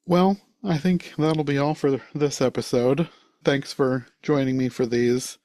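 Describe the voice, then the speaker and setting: A man's voice: mid-range voice, steady tone, a little slow, darkish tone, dry recording. A man hosting a podcast in a casual, conversational style. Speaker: podcast host.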